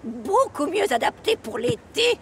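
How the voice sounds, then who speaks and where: A woman's voice: very high-pitched, very animated, unhurried, creaky, fairly bright tone, slight background noise, exaggerated voice. A woman voicing a doting, bossy elderly mother with theatrical, coaxing delivery in a cartoon dub. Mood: coaxing, insistent. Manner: coaxing, self-assured, theatrical. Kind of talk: animated children's series dub.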